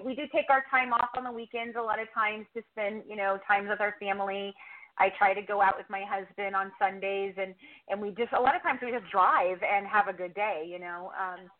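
A middle-aged woman chatting casually as a podcast-host guest in an interview. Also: a little high. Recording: thin phone-like call audio.

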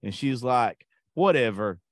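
A man's voice: mid-range voice, expressive, moderate pace, dry recording, studio quality. A man hosting a casual podcast chat, blunt and crude, joking and swearing freely. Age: adult. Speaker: podcast host.

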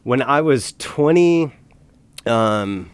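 A man's voice: mid-range voice, lively intonation, drawn-out words, smooth, no room sound. A middle-aged man in casual podcast talk, earnest and emphatic, pressing his points with conviction.

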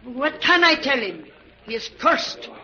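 A high, piercing old fortune-teller woman in a vintage radio play. She is defiant and menacing, speaking commanding broken English. The recording is dull and aged. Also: sing-song, unhurried, sharp tone, heavily acted. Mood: suspicious, evasive. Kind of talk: 1940s radio acting.

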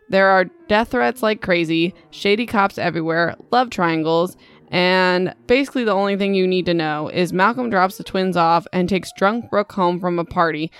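A woman's voice: on the low side, clean tone, no echo, clean studio sound. A young adult woman hosting a podcast, recapping a novel in a chatty, rambling way with self-deprecating asides. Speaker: podcast host. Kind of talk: casual book recap podcast.

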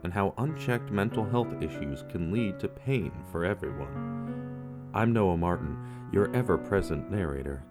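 A man narrating a scripted true-crime podcast as its host, with measured storytelling and dramatic pauses. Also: low voice, animated, music in the background.

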